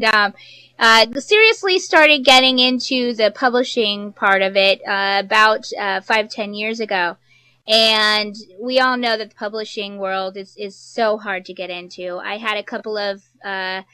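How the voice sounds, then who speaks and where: A woman author moderating an informal panel for an audience, chatty and quick to laugh. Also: a little high, pushed and bright, smooth.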